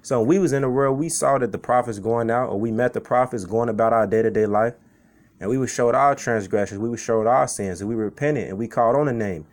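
A man's voice: medium pitch, brisk, darkish tone. Casual-talking man preaching scripture. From Chicago. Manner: conversational, repeats "man" and "okay". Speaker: street preacher. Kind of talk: informal sermon with scripture reading.

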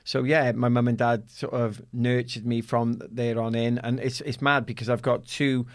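A man in his forties with a Newport, South Wales accent, a guest telling lively stories in a podcast studio, full of fillers and laughter. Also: medium pitch, moderate pace. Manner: animated storyteller, laughs often, many fillers. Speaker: guest, former athlete. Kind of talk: casual interview chat. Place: small padded podcast studio. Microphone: studio mic on boom arm.

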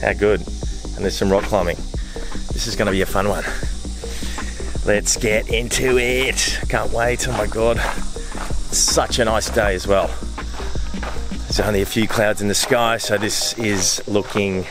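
An Australian man in his thirties chatting casually and excitedly to camera outdoors, in exclamatory, slangy speech. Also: on the low side, unhurried, long pauses, over music, poor audio. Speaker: vlogger. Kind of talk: casual vlog chat to camera. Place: outdoors on a mountain trail and car park. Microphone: handheld camera at arm's length.

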